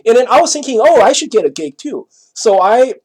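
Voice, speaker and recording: A middle-aged man from Taiwan with a Taiwanese accent, telling a personal story casually in a rambling, halting, repetitive way, often checking that the listener follows. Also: very high voice, big pitch swings, husky, poor audio.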